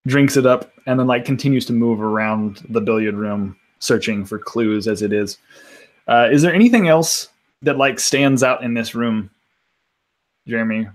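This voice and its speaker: A man's voice: mid-range voice, moderate pace. Conversational man improvising in a role-playing game. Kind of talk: casual improvised role-play.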